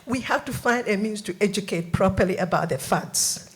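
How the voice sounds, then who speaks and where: A woman speaks at an audience floor microphone in a lecture hall. She is earnest and halting, filling her pauses with ums, in an ordinary mid-pitched voice. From Ghana. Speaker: audience member asking a question. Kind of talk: audience question at a public forum.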